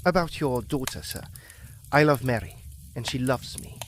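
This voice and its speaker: A man's voice: mid-range voice, animated, moderate pace, slight background noise, natural voice. A man playing a polite, earnest young farmer asking a father for his daughter's hand, in an old-time radio drama. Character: earnest young farmer suitor, Walter Markham.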